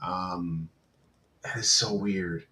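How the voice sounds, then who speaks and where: Rambling, lower-voiced male streamer. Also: fairly even intonation, very slow, pushed and bright. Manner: rambling, heavy on fillers. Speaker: livestream host. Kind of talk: casual livestream chat. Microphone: computer mic on a livestream.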